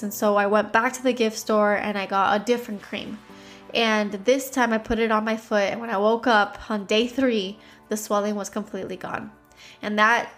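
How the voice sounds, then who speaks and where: A woman with a stuffy nose hosting a devotional podcast, reading scripture and telling a story in a conversational way. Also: medium pitch.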